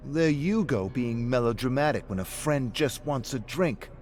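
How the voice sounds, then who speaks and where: A man's voice: medium pitch, animated, moderate pace, darkish tone, pitched above the performer's own voice, natural voice. A man voicing a blunt, needling male drinking buddy in a scripted game story, taunting yet earnest.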